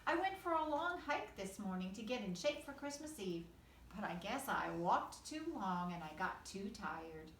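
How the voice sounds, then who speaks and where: A woman's voice: mid-range voice, big pitch swings, normal speed, fairly bright tone, roomy sound, far from the mic, a little hiss or hum. A woman as a kindly Santa Claus, expressively lilting. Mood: apologetic, tired. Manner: kindly, reassuring storyteller. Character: kindly, gentle old Santa Claus, male.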